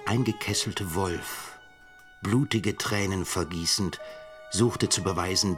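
A deep-voiced, grave professor. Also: male voice. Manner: solemn, literary, measured. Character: grave, defiant male professor chronicling a trial. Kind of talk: radio drama narration.

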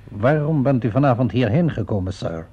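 Casting: courteous but persistent inspector, husky mid-range voice, measured questioning, dull old radio recording. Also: male voice, medium pitch, animated, dark tone. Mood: calm, probing. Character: polite, persistent, composed male police inspector. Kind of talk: radio play acting.

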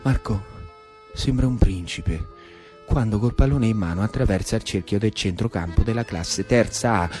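A man with a Roman Italian accent performing a colloquial theatrical monologue for radio as an animated storyteller. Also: lowish voice, normal speed, faint music behind. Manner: animated, colloquial, shifting between narration and characters. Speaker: actor and storyteller.

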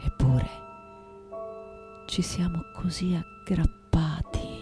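An actress playing a reflective, anguished and defiant artist in her late nineties in a theatrical, deliberate radio monologue. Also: deep voice, over music. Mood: weary, reflective. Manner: theatrical, deliberate, dwelling on repeated words. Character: 98-year-old woman artist, intense, reflective, anguished, defiant.

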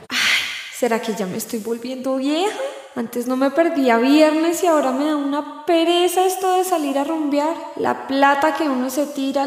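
Tired single colombian woman in a radio soap acted, high voice, big reverb. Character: tired, single working woman, weary of nightlife. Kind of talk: radio soap opera acting. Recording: long reverberant hall-like echo.

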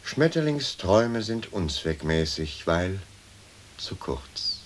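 Deferential butler, formal and dry, measured pace, widely swinging pitch, radio drama. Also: male voice, sing-song, slight background noise, heavily acted. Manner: formal, measured, drily matter-of-fact. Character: dry, deferential manservant turning into a crow. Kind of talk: stylized German radio play acting.

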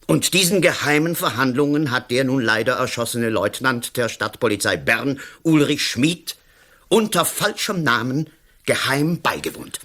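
An actor playing a domineering, smug and sly politician in a radio play, with a condescending, theatrical, emphatic delivery. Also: male voice, medium pitch, animated, normal speed, fairly bright tone. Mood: smug, insistent. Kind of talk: German-language radio drama acting.

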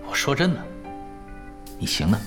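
An actor in a Chinese audio drama playing a laid-back veteran pro gamer, teasing and persuasive in an unhurried way. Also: male voice, natural voice. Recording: background music under the dialogue.

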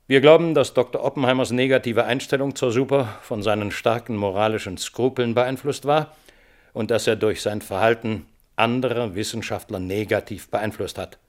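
Man acting a stern official chairing a hearing, sober formal reading, mid-pitched, radio play. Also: close to an everyday voice. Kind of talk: formal verdict reading in German radio drama.

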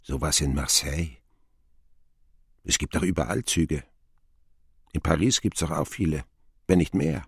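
Very deep gravelly reflective male narrator. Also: measured pace, long pauses, no echo, studio quality, heavily acted. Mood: wry, bitter. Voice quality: gravelly, husky. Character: grown man recalling his wry, wounded boyhood. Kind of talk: radio play narration.

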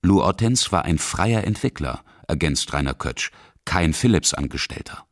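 A man narrating a scripted radio feature in a husky voice. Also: sits low, animated, normal speed, no echo, clean studio sound.

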